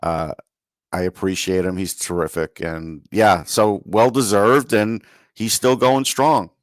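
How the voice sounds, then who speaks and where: A man's voice: fairly low pitch, fairly even intonation, unhurried, no echo, clean studio sound. A man co-hosting a sports podcast in a casual conversational style.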